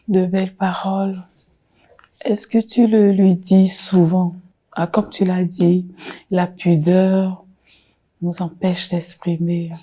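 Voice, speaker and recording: A woman in her forties, a jury member giving gentle, reflective feedback to a contestant. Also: lowish voice, husky. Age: 40s.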